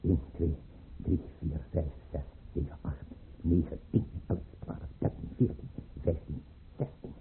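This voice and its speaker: An actor playing a capricious tyrannical tsar in a Dutch radio play, with clipped orders and muttered asides. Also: male voice, rumbling low, measured pace, phone-quality sound, a little hiss or hum. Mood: muttering, fixated. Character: capricious tyrannical tsar, obsessed with drill and buttons. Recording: band-limited old radio play recording.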